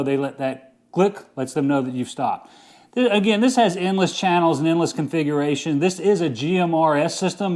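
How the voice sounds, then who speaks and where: Upbeat man with a higher-pitched voice. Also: darkish tone. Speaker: host of an outdoor gear review channel. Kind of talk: casual product review vlog.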